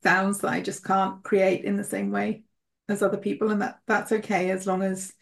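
English woman, earnest casual chat, lots of laughs and restarts, creaky voice. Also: medium pitch, completely flat delivery, moderate pace. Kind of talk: casual conversation.

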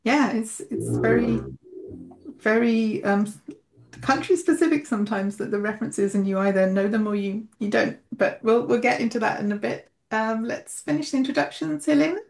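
A woman's voice: fairly high pitch, normal speed, vocal fry. A woman host, a native English speaker, leading a conversational chat and repeating words as she thinks. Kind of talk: casual chat.

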